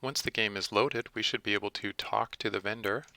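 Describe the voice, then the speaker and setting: A man narrating a step-by-step software tutorial in a methodical, instructional manner. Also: on the low side, steady tone, a little fast, vocal fry, dry recording.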